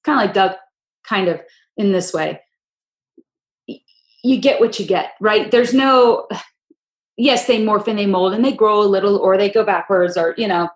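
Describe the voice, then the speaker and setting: A woman hosting a podcast in casual chat, with a deep, gruff voice, talking loudly and in long, winding stories. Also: moderate pace, pauses often. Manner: loud, opinionated, rambling storyteller. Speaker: podcast host. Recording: roomy echo.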